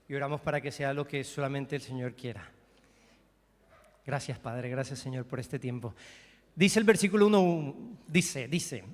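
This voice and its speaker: A man from Colombia with a Colombian accent, preaching a sermon as pastor, talking conversationally and asking the congregation questions. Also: on the high side. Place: church hall. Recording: long reverb of a church hall.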